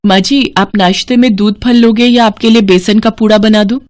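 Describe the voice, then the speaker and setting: A woman's voice: mid-range voice, fairly even intonation, moderate pace, a little mellow, lightly acted. A woman voicing a busy young city wife and employer who gives instructions in a moral story cartoon. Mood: matter-of-fact.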